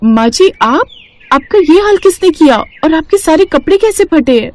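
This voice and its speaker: A woman's voice: high voice, lively intonation, moderate pace, a little mellow, dry recording, voice pushed up. A woman voicing an earnest, pleading young wife who has been wronged, in a moral story. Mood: shocked concern. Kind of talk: dramatized Hindi moral story.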